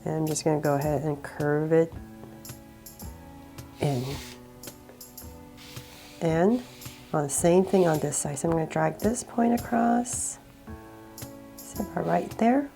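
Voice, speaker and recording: A woman with a cold guiding viewers step by step through a drawing, relaxed and laughing a little at her own slips. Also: sits low, slow pace, creaky, pauses often, soft background music. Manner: relaxed, with small laughs and self-corrections. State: sick, voice a bit off. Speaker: drawing tutorial host.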